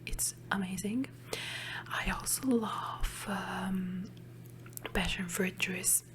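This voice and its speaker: A young woman with an Italian accent, whispering softly and chattily into a close microphone for an ASMR Q&A. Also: mid-range voice, steady tone, slow pace, a little hiss or hum. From Emilia-Romagna, Italy. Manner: soft unvoiced whisper, chatty with fillers. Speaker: ASMR channel host. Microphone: large studio mic close in front.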